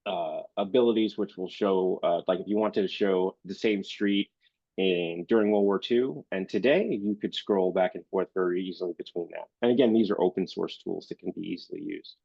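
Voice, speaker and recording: Male presenter, low voice, a bit congested and sniffly, lots of ums, dull dry call audio. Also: normal speed, gravelly, dry recording. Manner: hesitant, many fillers. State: congested, recovering from illness. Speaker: librarian presenter. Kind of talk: online presentation.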